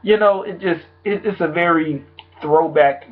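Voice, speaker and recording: A young man, slightly hoarse from a sore throat, giving a rambling, casual album review full of fillers. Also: a little high, a little slow. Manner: rambling, loose, full of "like" and "you know". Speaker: music reviewer. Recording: tinny phone-like sound.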